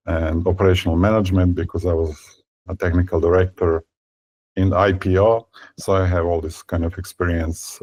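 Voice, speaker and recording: A man in his fifties from Serbia, speaking as a guest in an interview. He talks haltingly, with many fillers and repeated words. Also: deep voice, a little mellow. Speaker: tech company founder, guest. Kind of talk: conversational interview.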